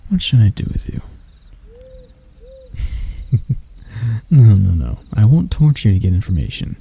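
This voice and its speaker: A man playing a proud elf prince in a roleplay ASMR, speaking in a measured, haughty and intimate way to the listener. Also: fairly low pitch, wildly swooping pitch, gravelly, warm tone, husky, exaggerated voice. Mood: menacing, scornful. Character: proud elf prince, stern captor softening into bashfulness.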